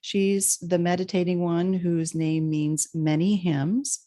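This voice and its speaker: A woman's voice: fairly low pitch, steady tone, slow, mellow. A woman co-hosting a casual spiritual chat, hesitant, with many fillers, stumbling over names. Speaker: podcast co-host.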